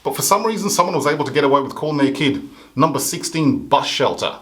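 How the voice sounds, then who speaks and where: Man from Africa, comedy host, sarcastic satirical monologue with punchy mock outrage, voice sits a touch high. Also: expressive, quick pace, a bit bright.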